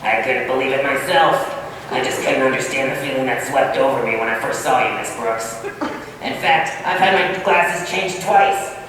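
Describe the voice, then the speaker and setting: A man's voice: medium pitch, moderate pace, far from the mic, lightly acted. Male actor as brash, lovestruck old custodian, folksy radio-comedy delivery, very echoey stage recording with faint noise. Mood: smitten. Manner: folksy, rustic turns of phrase. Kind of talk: 1940s-style radio comedy acting.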